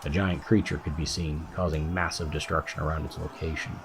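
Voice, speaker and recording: A man's voice: a bass voice, level tone, brisk, background noise. A man giving a scripted narration of a fantasy audiobook as its narrator.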